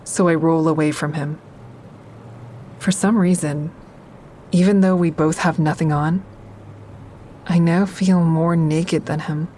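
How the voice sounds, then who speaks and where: A woman's voice: deep voice, fairly even intonation, measured pace, long pauses, dark tone, a little hiss or hum. An actress playing a guarded, wry woman who narrates her troubled romance in an intimate, confiding audio drama style. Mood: uneasy, guarded. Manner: confiding, dry, introspective.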